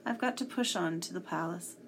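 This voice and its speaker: A woman voicing a tall, smooth male henchman in a storybook, breezy and mock-polite with casual menace. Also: lowish voice, brisk, slight background noise. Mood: brisk, businesslike.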